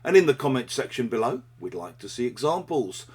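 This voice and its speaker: A man with a husky, slightly breathy voice at a middle pitch explains an English phrase step by step. He keeps a measured, scripted teaching delivery throughout. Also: big pitch swings, darkish tone.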